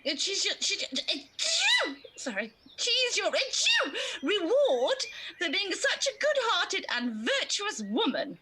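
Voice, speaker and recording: A batty pantomime good fairy, very high and piercingly bright. She announces things grandly and theatrically at a leisurely pace. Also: huge pitch swings, slow, slight background noise, full character voice. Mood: sneezy, flustered. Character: batty well-spoken good fairy, sneezing, eccentric and kindly. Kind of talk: pantomime radio acting.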